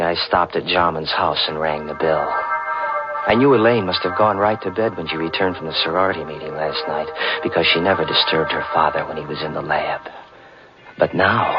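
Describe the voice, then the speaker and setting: A deep-voiced killer recounts his crime in feverish, rising narration. The sound is dull and old-time, with music underneath. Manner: feverish first-person narration, rising to frantic. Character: young man gone mad with immortality, a murderer. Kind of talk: 1940s radio drama acting.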